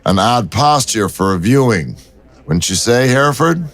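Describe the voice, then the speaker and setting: An actor playing a wry, persistent city detective who talks in dry, teasing banter. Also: male voice, mid-range voice, expressive, moderate pace, lightly acted.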